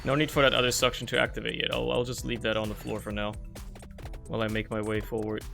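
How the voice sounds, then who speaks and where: A man's voice: on the low side, completely flat delivery, quick pace, creaky, bright, forceful tone, soft background music. A young man with German as his first language, streaming a video game and rambling through casual, joking commentary that swears often, recorded at home on a desk microphone.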